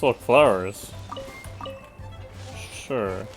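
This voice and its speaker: A woman's voice: a bass voice, drawn-out words. A woman giving offhand, casual live commentary as she plays a video game, muttering questions to herself. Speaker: game streamer. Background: game music.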